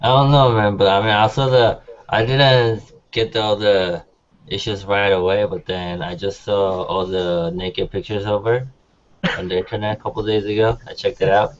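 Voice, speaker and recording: A man's voice: lowish voice, fairly even intonation, moderate pace. A man chatting casually and informally as a panelist on a talk show. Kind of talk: casual chat. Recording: dull, slightly noisy lo-fi sound.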